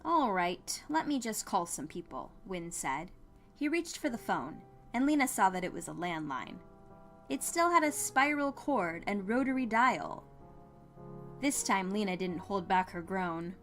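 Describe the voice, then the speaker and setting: A woman narrates a piece of fiction in a mid-range voice. Her reading is scripted and expressive, in audiobook style, with music playing behind her. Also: medium pitch, unhurried.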